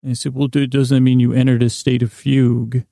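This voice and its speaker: A man hosting a podcast, rambling through a bedtime story monologue in a gravelly voice, halting and stumbling over his words as he meanders. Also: mid-range voice, flat delivery, warm tone, dry recording, clean studio sound. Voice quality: gravelly, creaky voice. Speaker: podcast host.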